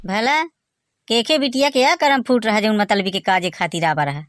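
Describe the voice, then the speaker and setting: A man with a Bagheli accent voicing a boastful village son-in-law in a comic cartoon, teasing in lively banter. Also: high-pitched, normal speed, background noise, natural voice. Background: music.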